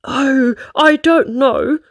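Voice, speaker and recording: A woman's voice: high-pitched, very slow, no echo, studio quality, full character voice. A woman voicing an anxious male worrier from a children's storybook, fretting in breathless run-on sentences. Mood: hesitant, whiny. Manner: fretful, breathless run-on worrying.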